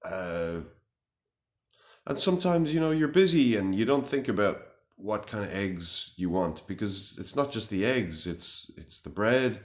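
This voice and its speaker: A man in his thirties playing an anxious, earnest, melodramatic self-styled warrior in a halting, mock-serious monologue to camera. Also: lowish voice, expressive. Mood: agitated, defensive. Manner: halting, grave, deliberate delivery with long pauses. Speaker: comic video responder. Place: small room indoors.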